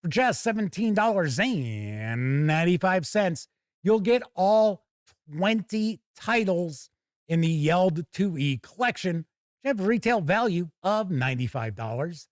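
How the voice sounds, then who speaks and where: A man's voice: high-pitched, measured pace, dry recording, clean studio sound. A man hosting a live gaming news show, chatty and wry, moving between casual asides and reading out news copy. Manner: animated, wry, conversational.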